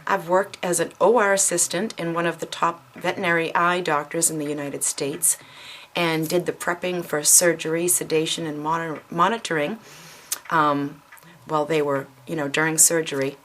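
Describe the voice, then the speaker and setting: Middle-aged woman vlogger, low voice, rambling home-video chat with a faint hiss behind. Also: fairly even intonation, normal speed, a bit bright. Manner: chatty, frequent ums and self-corrections. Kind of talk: casual chat to camera.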